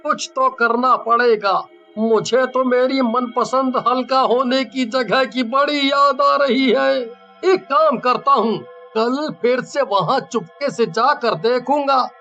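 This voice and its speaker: A man voicing a whiny, stubborn village husband with an exclamatory, drawn-out delivery in a comic story. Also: extremely high pitch, pushed and bright, exaggerated voice. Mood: musing. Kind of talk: comic Hindi story voice acting. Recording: dull band-limited audio, music bed.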